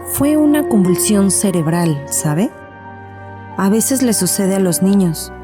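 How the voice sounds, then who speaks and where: A woman playing a composed psychiatrist in an audio horror drama, measured and probing with a patient. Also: mid-range voice, animated, over music. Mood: probing, calm.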